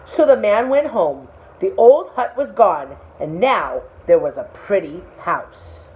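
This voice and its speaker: A woman reading a storybook aloud in an expressive style of narration for children. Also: mid-range voice, slow, a little hiss or hum, low-quality recording. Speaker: storybook reader.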